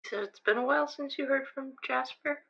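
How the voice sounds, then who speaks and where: A woman's voice: high voice, normal speed, creaky, fairly bright tone. A young woman playing an anxious, self-doubting student in an improvised audio drama, speaking hesitantly with stammers, on a slightly dull recording. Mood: uneasy probing. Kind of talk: improvised actual-play audio drama, conversational.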